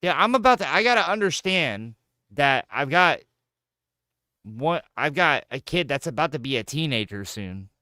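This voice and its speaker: Lively, bright-voiced joking man in his thirties. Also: a little high, moderate pace, no room sound, clean studio sound. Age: 30s. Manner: joking, profane, animated banter. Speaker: gaming streamer. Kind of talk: casual chat while gaming.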